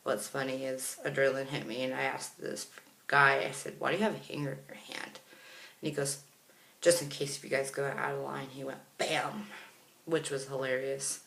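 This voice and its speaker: American man, casual vlog storyteller, chatty and rambling, narrow pitch range, gravelly, roomy echoing recording. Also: completely flat delivery, moderate pace, sharp tone, a little hiss or hum. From Kansas, United States. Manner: chatty, rambling, self-correcting. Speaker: vlogger. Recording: roomy, echoey sound.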